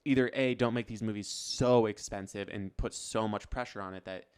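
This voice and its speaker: A man's voice: lowish voice, steady tone, moderate pace, darkish tone, clear, resonant tone. A man co-hosting a podcast in casual chat, informal and given to acting out imagined quotes. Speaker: podcast co-host. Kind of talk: casual chat about films.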